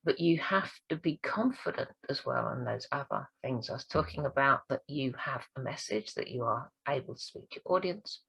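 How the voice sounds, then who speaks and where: A woman with a low, croaky voice, gravelly and husky from hoarseness, talks straight to camera as a speaking coach. Her delivery is earnest and encouraging. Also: animated, normal speed, few pauses, fairly bright tone, poor audio. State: hoarse this week. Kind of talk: direct-to-camera training talk.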